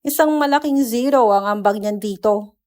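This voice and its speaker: A woman's voice: mid-range voice, moderate pace, darkish tone, clear, resonant tone, natural voice. A woman voicing a catty young wife who complains and mocks in a whiny way in a dramatized story. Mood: mocking. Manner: complaining, mocking, wheedling. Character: catty, whiny young wife belittling her sister-in-law.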